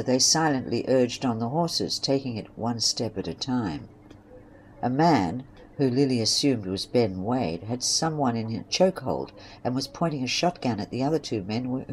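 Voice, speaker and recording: Faint noise and ambience lie under a narrator of middling pitch. The delivery is scripted storytelling, read as third-person narration for a home-made audio drama. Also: a little mellow, poor audio.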